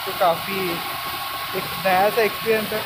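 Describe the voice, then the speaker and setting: A man's voice: high voice, steady tone, normal speed. A young man vlogging casually outdoors, asking questions and repeating back what he is told. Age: young adult. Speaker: vlogger, host. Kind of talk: casual on-location vlog commentary and questions. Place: outdoors in an open stone quarry. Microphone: handheld phone at arm's length. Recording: loud outdoor machinery and vehicle noise.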